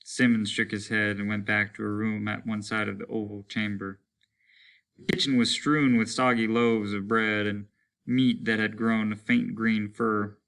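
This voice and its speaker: A man's voice: on the low side, little pitch movement, moderate pace, creaky, fairly bright tone, smooth. A man reading a story aloud as a teacher would, in a dramatic narrative style.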